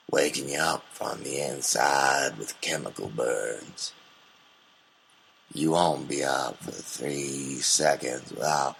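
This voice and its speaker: A depraved old gang leader in a surreal audio drama. The voice is extremely low and full of fry, drawling very slowly with a menacing, drugged ramble that can swoop upward. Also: male voice, a bit bright, a little hiss or hum, full character voice. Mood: menacing, taunting. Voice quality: gravelly, creaky.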